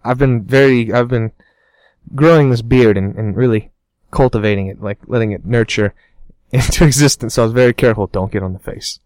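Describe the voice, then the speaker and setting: A man's voice: medium pitch, brisk, a little mellow, no echo. A young man chatting casually on a podcast, telling a story with self-mocking laughs. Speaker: podcast co-host. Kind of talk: casual chat.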